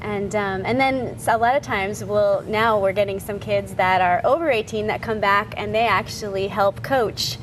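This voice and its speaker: Relaxed woman guest, noisy room. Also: mid-range voice, moderate pace, hardly any pauses. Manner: relaxed, with fillers. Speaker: theater company guest. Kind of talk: casual interview chat.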